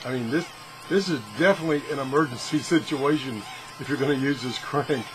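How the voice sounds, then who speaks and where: Man demonstrating gadgets over clear background noise. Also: mid-range voice, fairly quick. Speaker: video host reviewing gadgets. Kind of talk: casual hands-on product demonstration. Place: home workshop, indoors. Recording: steady fan and room noise.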